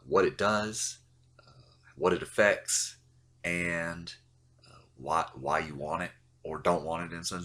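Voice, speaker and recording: A man with an extremely low voice talks through a game guide in a relaxed voice-over. He sounds stuffy, still getting over the flu, and he rambles with plenty of fillers. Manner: loose, rambling, many uh fillers. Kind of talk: casual explanatory voice-over.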